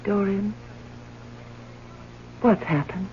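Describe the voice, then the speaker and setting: An actress playing a devoted, easily wounded young fiancee in an old-time radio drama, speaking in an earnest, measured, melodramatic way. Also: female voice, mid-range voice. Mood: concerned, accusing. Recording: muffled old radio broadcast with hiss.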